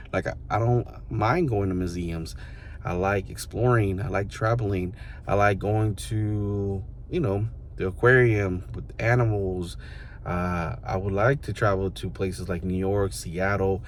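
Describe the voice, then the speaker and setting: A man in his thirties with a very low voice talks straight to his viewers as a vlogger. He muses in a loose, rambling way and keeps leaning on fillers. A faint hum of room noise sits behind him. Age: 30s. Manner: rambling, loose, full of fillers such as "you know" and "like". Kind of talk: casual reflective monologue to the camera.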